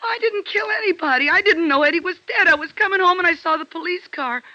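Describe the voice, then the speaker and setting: An actress playing a scared, tough young striptease dancer pleading emotionally in a vintage radio detective drama. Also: female voice, very high voice, fast, sharp tone, no echo. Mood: desperate pleading. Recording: dull vintage radio recording.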